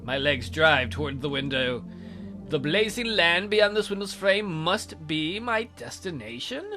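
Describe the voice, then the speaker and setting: A man hosting a let's play, reading a visual novel aloud in a theatrical, expressive way. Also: on the high side, measured pace. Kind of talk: dramatic read-aloud of a visual novel, with casual asides. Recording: music behind the voice.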